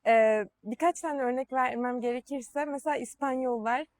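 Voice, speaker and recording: Higher-voiced young woman from Turkey. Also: moderate pace, a little mellow. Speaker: exchange participant. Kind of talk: casual interview answer.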